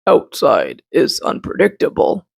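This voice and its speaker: A woman voicing a cautious, stay-at-home piece of bark in a children's storybook, sober and hushed. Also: low-pitched, wildly swooping pitch, a little slow, creaky, voice pushed down, full character voice. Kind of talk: children's storybook read-aloud.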